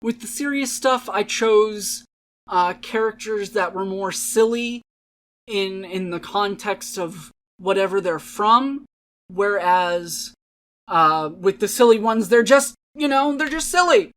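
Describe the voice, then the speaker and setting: A woman's voice: mid-range voice, slow. A woman hosting a dramatic reading show, introducing it in a casual, chatty style.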